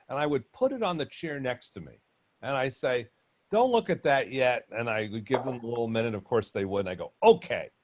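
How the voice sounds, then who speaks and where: A man moderating an online panel, talking loudly and chattily with many restarts. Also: lowish voice. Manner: loud, chatty, rambling with restarts. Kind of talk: panel discussion on video call. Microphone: video call.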